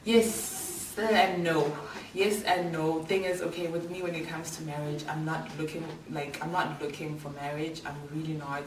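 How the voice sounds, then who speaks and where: A woman's voice: deep voice, fairly even intonation, moderate pace. A South African woman of twenty-five answering viewer questions in a casual, candid vlog chat, full of slang and fillers. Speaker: vlogger. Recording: echoey room, voice a little far from the mic, clear background noise.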